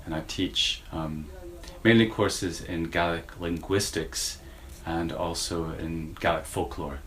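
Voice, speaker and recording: Man, 40s, very low voice, American accent with Scottish edges, hesitant, reflective. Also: steady tone, slow, fairly bright tone, a little hiss or hum. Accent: American with Scottish influence. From United States. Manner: thoughtful, hesitant with many fillers. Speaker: university lecturer. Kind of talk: interview answers.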